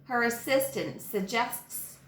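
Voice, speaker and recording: A woman teacher reading a children's picture book aloud, with dramatic, deliberate phrasing and stressed key words. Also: on the high side, reverberant, a little hiss or hum. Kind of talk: expressive children's read-aloud narration.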